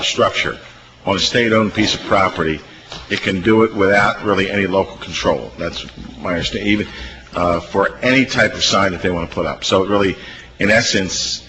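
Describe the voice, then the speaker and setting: A man with a fairly low voice puts questions at a legislative hearing. His delivery is halting and spontaneous, circling back as he reasons, with clear noise around him. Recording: clear background room noise.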